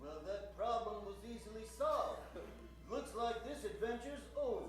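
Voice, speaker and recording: A man's voice: sits high, lively intonation, moderate pace, heavy reverb, distant mic, faint music behind, rough recording. A man playing an unimpressed hero scientist in improvised radio comedy, exclaiming plot points matter-of-factly. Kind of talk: improvised old-time radio comedy acting.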